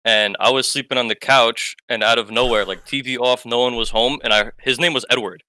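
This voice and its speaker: Rambling male caller, bright dry sound. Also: mid-range voice, fairly even intonation, fairly quick, clean tone, no room sound, poor audio. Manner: rambling, lots of "like" fillers. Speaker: caller telling a ghost story. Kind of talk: casual storytelling on a call.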